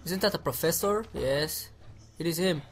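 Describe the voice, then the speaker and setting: A man with a cold and a slightly hoarse voice, casually commenting on a game and stumbling over his words. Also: on the high side. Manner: halting, repeats words when unsure. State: has a cold. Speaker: gameplay commentator. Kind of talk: casual let's play commentary.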